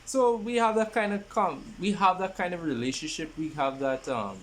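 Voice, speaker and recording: Young man, halting podcaster, noisy recording. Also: high voice, fairly bright tone. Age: 20s. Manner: halting, often repeats phrases. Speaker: podcast host. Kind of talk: casual podcast introduction.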